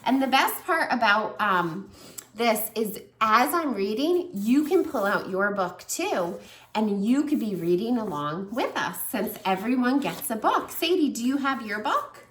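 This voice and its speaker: A woman teacher reading a picture book aloud to a small child, lively and encouraging. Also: on the high side, unhurried, roomy sound. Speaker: preschool teacher. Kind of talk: children's read-aloud lesson.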